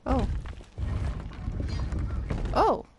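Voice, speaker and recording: A woman's voice: drawn-out words, darkish tone, faint music behind. A woman doing casual live game commentary, muttering to herself as she plays. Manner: mutters to herself while playing. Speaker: game streamer.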